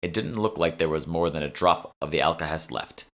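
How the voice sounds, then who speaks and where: A man narrates a first-person fantasy story in a deep register. His tone is bright and forceful, and he reads as an author would from his own scripted book. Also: a little fast. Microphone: close USB studio microphone.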